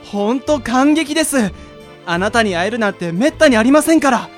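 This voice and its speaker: A woman's voice: a little high, expressive, fairly quick, faint music behind, natural voice. Woman acting polite, earnest junior talking train strap. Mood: starstruck. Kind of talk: comic amateur radio drama acting.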